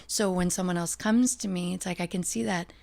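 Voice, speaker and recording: A woman's voice: on the low side, steady tone, brisk, vocal fry, a little mellow. A middle-aged American woman talking as a podcast guest, reflective and earnest, laughing easily. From United States. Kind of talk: casual interview talk.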